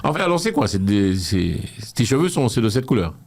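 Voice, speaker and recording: A man's voice: medium pitch, big pitch swings, fairly quick, darkish tone. A man hosting a casual interview, talking in a playful, teasing way and often repeating himself. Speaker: interviewer host.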